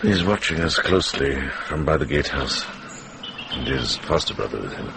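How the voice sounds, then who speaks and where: A very deep, gravelly old monk. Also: male voice, lively intonation, heavily acted. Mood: calm, firm. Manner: measured, weighty, plain-spoken. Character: shrewd, kindly, plain-spoken older monk investigator. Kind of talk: radio drama acting. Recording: noisy radio drama recording.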